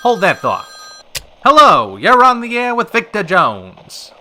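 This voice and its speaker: An actor playing a sardonic, easily flustered 1930s radio call-in host with wry, old-fashioned slangy patter. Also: male voice, sits high, wildly swooping pitch, measured pace, sharp tone, full character voice. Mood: exasperated. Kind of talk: old-time radio drama acting.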